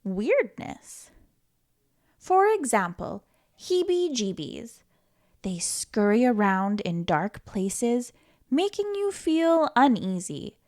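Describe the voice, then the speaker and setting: A woman reading a children's picture book aloud in a gentle, playful, reassuring way, sometimes giggling. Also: a little high, sing-song, slow pace. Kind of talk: read-aloud storytime.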